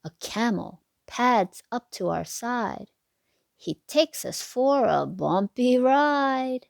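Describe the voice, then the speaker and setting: Female storybook reader, unhurried and expressive, slight creak in the voice, close dry sound. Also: on the high side, no echo. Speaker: read-aloud narrator for children. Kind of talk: children's picture book read-aloud.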